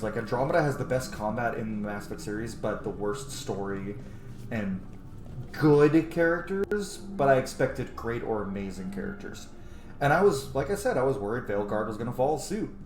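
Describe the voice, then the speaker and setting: A man in his thirties giving casual, rambling, opinionated gaming commentary as a let's play host, swearing freely. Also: fairly low pitch. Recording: echoey room, noisy game ambience. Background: video game ambience.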